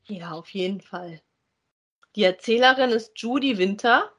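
A woman's voice: medium pitch, unhurried, fairly bright tone, lo-fi sound. A woman chats casually as a podcast co-host, talking in an effusive, enthusiastic way like a devoted fan. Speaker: co-host of a podcast about radio plays. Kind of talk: casual conversational chat.

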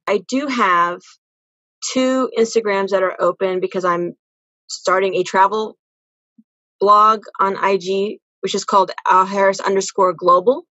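A woman's voice: mid-range voice, sharp tone, no room sound. Earnest woman with dry, muffled sound. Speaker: podcast guest. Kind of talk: casual interview conversation.

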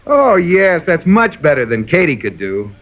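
An actor playing an exasperated but affectionate husband in a 1940s radio comedy, stammering and theatrical. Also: male voice, on the high side, very animated, moderate pace. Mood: sheepish, reassuring. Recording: very noisy old 1940s radio recording.

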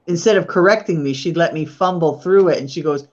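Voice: medium pitch, a little fast, lo-fi sound. Chatty host with a Polish-speaking childhood. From United States. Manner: chatty, rambling, lots of fillers like "you know". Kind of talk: casual conversation.

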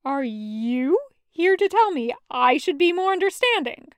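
A woman's voice: sits high, big pitch swings, measured pace, dry recording. A woman voicing a brash, hurt, sarcastic man in an audio story, with emphatic slangy delivery. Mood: indignant.

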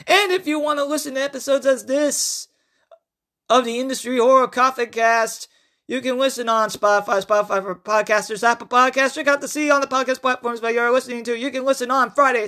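A podcast host reading a horror story and promotional notes in a halting way, repeating phrases. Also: mid-range voice, pushed and bright.

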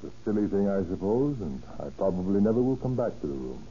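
An actor playing a courteous, melancholy, hesitant rich widower in a 1930s radio drama. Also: male voice, sits low, very animated, fast, warm tone. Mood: courteous, businesslike. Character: brooding, polite wealthy widower haunted by loss. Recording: muffled, noisy old radio recording.

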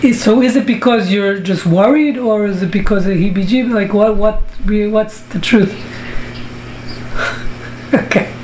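A woman's voice: medium pitch, measured pace, pauses often, noisy background. A woman from the US teaching a Talmud class over a video call, joking and bantering with participants. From United States. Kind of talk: online study class.

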